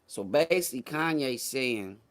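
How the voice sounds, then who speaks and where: A man with a mid-pitched voice hosts a casual livestream. He talks straight to his chat in loose, slangy language with some swearing. He leans on key phrases and repeats them to drive his points home. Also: lively intonation, normal speed. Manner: slangy, profane, conversational; emphatic, repeats phrases for effect. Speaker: livestream host. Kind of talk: casual livestream political commentary, addressing a live chat.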